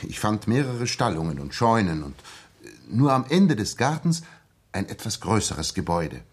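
A shaken ex-soldier in a sixties radio play. His husky, fairly low voice moves widely in pitch as he narrates earnestly and haltingly. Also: sing-song. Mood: earnest, suspenseful. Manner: earnest, hesitant, repeating words when agitated. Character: earnest, shaken young English ex-soldier telling his story. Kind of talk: 1960s German radio drama acting, dramatic narration.

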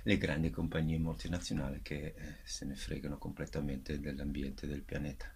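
A man's voice: very deep voice, little pitch movement, normal speed, vocal fry, darkish tone, husky. A man answers interview questions earnestly, searching for his words. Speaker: theatre actor and director, interview guest. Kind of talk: interview answers about a stage show.

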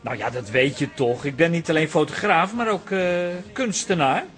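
A man's voice: on the high side, moderate pace, a bit bright, natural voice. A man playing a cheeky, self-promoting amateur artist in a comic radio drama, stammering and eagerly persuasive. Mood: eager, scheming.

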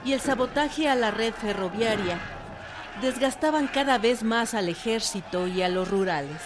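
A woman's voice: on the high side, expressive, normal speed, background noise. A woman reading scripted historical documentary narration.

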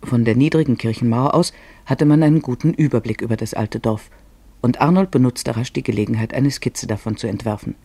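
Husky male radio-play narrator, very dry sound. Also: mid-range voice, brisk, dark tone, dry recording. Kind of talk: scripted literary narration for radio drama.

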